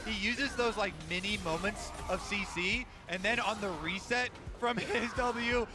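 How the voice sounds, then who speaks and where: A man's voice: sits high, measured pace, over music. A man commentating on an esports match in a casual, analytical way.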